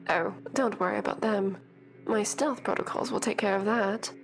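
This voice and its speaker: A woman's voice: medium pitch, normal speed, creaky, soft background music, close to an everyday voice. A woman playing a confident, teasing sentient starship in an audio drama, playful and self-assured.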